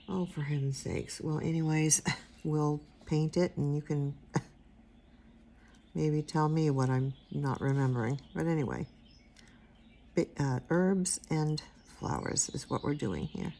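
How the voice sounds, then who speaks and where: An older woman with a quiet, soft-spoken voice giving a casual painting tutorial, rambling and trailing off into mumbles as she works. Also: low voice, vocal fry, slight background noise. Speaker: watercolor instructor.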